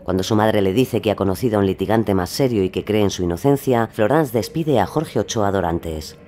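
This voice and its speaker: A man's voice: fairly low pitch, fairly quick, mellow. A man narrating a scripted documentary drama.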